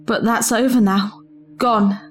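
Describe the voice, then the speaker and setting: A woman's voice: a little high, fairly even intonation, slow pace, a little hiss or hum. A woman acting a principled, disillusioned female travelling companion in an audio drama. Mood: subdued.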